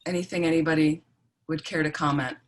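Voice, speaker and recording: A woman's voice: low voice, fairly even intonation, a little fast. A woman leading an online Buddhist class in a conversational, reassuring way, often checking that listeners follow. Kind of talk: informal dharma teaching with questions to participants. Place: online group video call.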